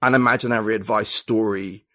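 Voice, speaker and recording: A man's voice: on the low side, steady tone, brisk, bright, forceful tone, no room sound. Rambling man with a dry sound. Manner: rambling, full of "like" and "you know". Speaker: podcast guest. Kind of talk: casual conversation.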